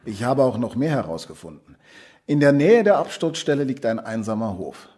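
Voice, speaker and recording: Husky, lively-pitched male policeman. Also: normal speed. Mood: matter-of-fact. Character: matter-of-fact male police colleague. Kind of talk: audio drama dialogue.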